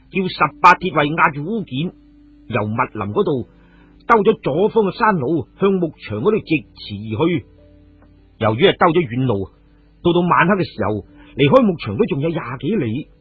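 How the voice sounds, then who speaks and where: Male narrator, Cantonese accent, dramatised radio storytelling: highish, gravel-husky voice, faint music behind. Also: sing-song, normal speed. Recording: muffled phone-like radio audio, music behind.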